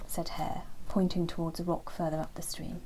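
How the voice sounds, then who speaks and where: A woman narrating a children's bedtime story in a gentle, expressive storyteller's manner. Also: fairly low pitch, moderate pace, a little hiss or hum, rough recording.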